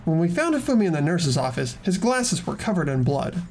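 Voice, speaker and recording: An earnest teenage student detective from a video game, acted out by a fan performer. The voice swings lively in pitch while deliberately explaining evidence, with music playing underneath. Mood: earnest, insistent. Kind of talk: scripted game dialogue read aloud by fans. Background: background music.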